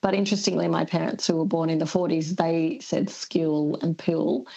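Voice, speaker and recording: Creaky-voiced Australian woman explaining things. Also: sits low, level tone, fast talker, warm tone, dull audio. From Melbourne, Australia. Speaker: linguistics expert guest. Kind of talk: explanatory radio discussion.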